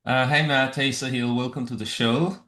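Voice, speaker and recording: A man co-hosts a podcast and puts his questions to a guest in a halting way, dotted with uhs. His voice is mid-pitched, and the room around him rings with noticeable echo. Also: steady tone. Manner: hesitant, many uhs, warm questions. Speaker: podcast co-host. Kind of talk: casual podcast interview. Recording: roomy echoey room.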